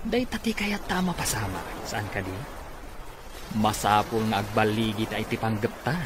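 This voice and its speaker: A man's voice: on the low side, lively intonation, measured pace, background noise. A man playing a greedy, scheming business rival in an Ilocano radio comedy-drama. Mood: gleeful scheming.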